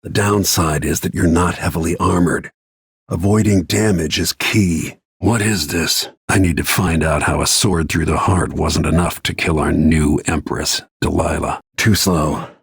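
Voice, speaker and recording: A middle-aged assassin from a video game, grim and bent on revenge. The voice sits deep, with heavy gravel and a very raspy husk, recorded dry. Lines come out terse and brooding, always under control. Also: male voice, fairly even intonation, a little slow, no echo, heavily acted. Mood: grim, determined. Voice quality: gravelly, husky. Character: grim, vengeful middle-aged male assassin bodyguard and protective father.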